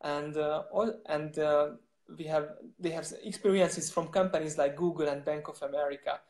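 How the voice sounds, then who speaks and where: A man's voice: fairly high pitch, steady tone, normal speed, darkish tone. Man speaking in an echoey room. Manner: hesitant, many restarts and fillers. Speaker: startup co-founder. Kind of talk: interview answers.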